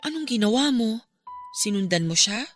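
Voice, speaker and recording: A woman's voice: a little high, lively intonation, moderate pace, soft background music, lightly acted. An actress playing a level-headed young woman in a radio drama, conversational and mildly reproachful. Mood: curious. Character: level-headed young woman gently scolding her troubled ex.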